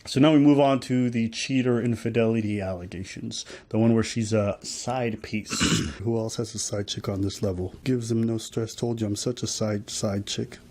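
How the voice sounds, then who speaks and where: A man's voice: fairly low pitch, steady tone, normal speed, few pauses, dark tone. A man hosting and commentating on an interview clip, pointed and questioning. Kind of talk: commentary on played clips.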